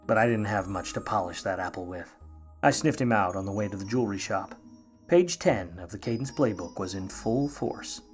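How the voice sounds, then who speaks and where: An actor playing a wry, wisecracking private eye in a 1950s noir radio play, narrating and trading slangy lines. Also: male voice, on the low side, moderate pace, faint music behind, close to an everyday voice. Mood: wry, brooding. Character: wry, grudging hardboiled male private eye.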